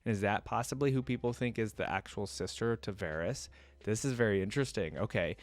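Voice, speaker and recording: A man's voice: mid-range voice, dry recording. A man hosting a reaction video, commenting casually and musingly with lots of conversational filler words. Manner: musing, casual, often says "like" and "you know". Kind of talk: casual commentary, reading a story aloud.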